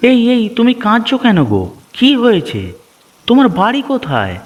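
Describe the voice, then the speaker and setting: A man's voice: very high-pitched, wildly swooping pitch, brisk, highs cut off, full character voice. A man voicing a kind-hearted village fisherman in a cartoon story, concerned and coaxing, with music behind. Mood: concerned, surprised. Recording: background music.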